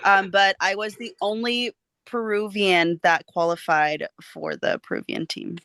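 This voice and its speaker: A woman from the United States answers questions as a podcast guest in a casual, conversational way. Her pitch is average for a woman, and the sound is very dry. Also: fairly even intonation, a little slow, a bit bright, smooth, no echo. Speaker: podcast guest, professional wrestler. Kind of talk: casual interview chat.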